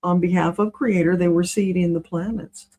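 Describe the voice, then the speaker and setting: A woman's voice: on the low side, fairly even intonation, moderate pace, dark tone. A woman giving a conversational spiritual reading as a guest, explaining things in a matter-of-fact way. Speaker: spiritual reader, interview guest.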